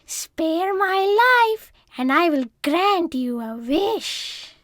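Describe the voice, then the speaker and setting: Very high, grand magical sea turtle. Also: female voice, big pitch swings, very slow, a bit bright, no echo, clean studio sound, heavily acted. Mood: grand, solemn. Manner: grand, theatrical proclaiming. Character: magical green sea turtle, regal son of a sea god. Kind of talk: read-aloud storybook character voice.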